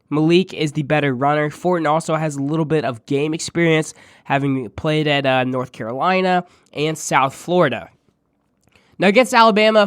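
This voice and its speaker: A man with a congested, nasal voice while under the weather, hosting a podcast and giving a steady, stat-heavy sports preview. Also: a little high, smooth, no room sound, studio quality. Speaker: podcast host.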